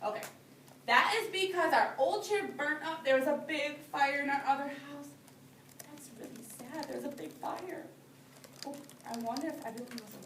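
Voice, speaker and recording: A high-pitched woman reads a children's story aloud in the manner of a classroom teacher, stopping to explain it simply. She is some way from the microphone in a roomy, echoing space, with a little background noise. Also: normal speed, far from the mic. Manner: simple explanatory phrasing, addressing small children. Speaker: teacher reading a picture book aloud to young children. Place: indoor classroom.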